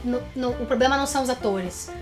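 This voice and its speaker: Casting: Brazilian woman, higher voice, explanatory and opinionated review co-host, music underneath. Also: fast. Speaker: co-host of a film review show. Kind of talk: conversational film review and analysis. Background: background music.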